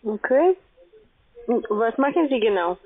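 A woman's voice: fairly high pitch, sing-song, unhurried, breathy edge. A woman working as a call centre agent on a sales call, speaking with non-native grammar. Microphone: telephone. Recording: narrow tinny phone line.

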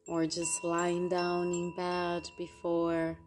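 A woman leads a guided meditation in a fairly low, mellow voice. She speaks very slowly and gently, leaving long pauses between short phrases. Soft music plays behind her. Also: monotone. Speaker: meditation guide and podcast host. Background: meditation music with soft chimes.

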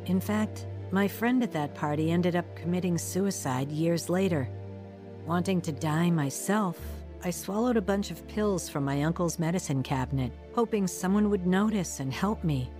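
A reflective, sober woman played by an actress tells her life story as radio drama narration. Her voice is slightly low and measured, over a music bed. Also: close to an everyday voice. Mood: somber. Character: woman soberly recounting her troubled, lonely youth. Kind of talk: scripted first-person radio drama narration.

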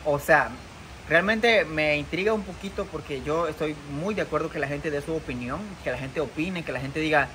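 Young guy vlogging outside by a muddy road, kinda high voice, defensive, lots of "o sea", noisy outdoors. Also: normal speed, pushed and bright. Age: young adult. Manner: earnest, defensive, repeats himself with many fillers. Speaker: content creator. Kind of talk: vlog to camera. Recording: outdoor noise behind. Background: outdoor ambience.

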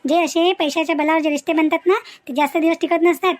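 A woman's voice: very high-pitched, fairly even intonation, quick pace. A woman playing an outspoken, lecturing young niece in a family cartoon. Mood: indignant. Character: outspoken young niece scolding dowry-seeking elders. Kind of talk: cartoon family drama dialogue.

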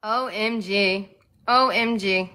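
A middle-aged woman hosting a phone livestream from a small indoor room, upbeat and quickly thanking viewers by name. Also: medium pitch, pushed and bright. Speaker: livestream host. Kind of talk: casual livestream chat. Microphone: phone selfie camera.

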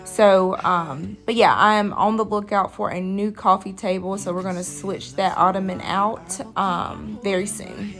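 A woman's voice: medium pitch, steady tone, a little slow, few pauses, fairly bright tone. A woman vlogger giving a casual, conversational voice-over. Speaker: vlogger, host of a cleaning channel. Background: music.

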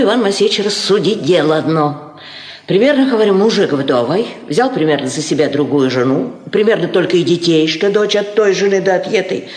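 A scheming old peasant mother, played by a woman in a vintage Soviet radio play. She coaxes and bosses in rustic Russian dialect, her pitch swooping widely. The studio sounds roomy. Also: natural voice. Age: older. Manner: folksy, insistent, coaxing. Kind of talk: 1950s Soviet radio drama acting.

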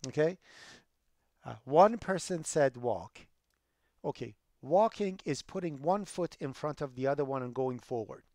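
A middle-aged man, a college programming instructor lecturing online over a headset, emphatic and rising loud when he makes a point. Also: fairly high pitch, animated, a little slow, no room sound, clean studio sound. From Iran. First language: Persian.

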